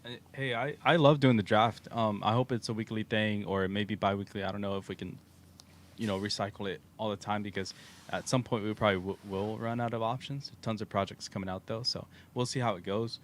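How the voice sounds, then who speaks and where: Deep-voiced Texan man chatting on a stream. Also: a little fast. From Texas. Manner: relaxed, rambling, with fillers and laughs. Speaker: co-host of a crypto livestream. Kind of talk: casual livestream chat. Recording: faint background noise.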